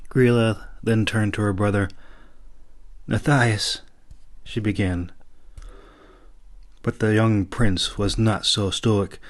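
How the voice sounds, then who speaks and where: Slow, lowish-voiced man narrating fantasy. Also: fairly even intonation. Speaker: author reading own audiobook. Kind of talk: dramatic scripted fantasy narration.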